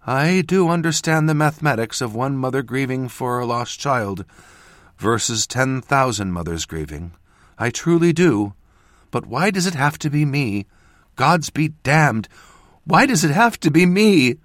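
A man's voice: medium pitch, lively intonation, moderate pace, dry recording, voice pushed up, lightly acted. A man voicing a loving, worried elderly farm mother for an audiobook, emotional and tender. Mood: grieving. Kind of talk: audiobook character voice.

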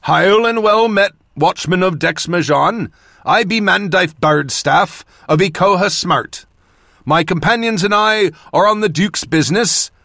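Casting: male reader as young country mage, fantasy audiobook. Higher register, rustic accent, courteous, earnest, old-fashioned formality. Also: unhurried, pushed and bright, no echo, clean studio sound, heavily acted. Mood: formal greeting. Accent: rustic northern accent of a fantasy land.

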